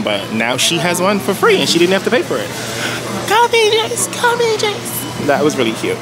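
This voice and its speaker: Lively, animated man vlogging in a store. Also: mid-range voice, background noise. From born in the Bahamas, raised in New York. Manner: chatty and expressive, laughs easily. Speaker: vlogger, co-host of a couple channel. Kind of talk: casual chat while filming. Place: busy store, waiting in a service line. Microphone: handheld camera.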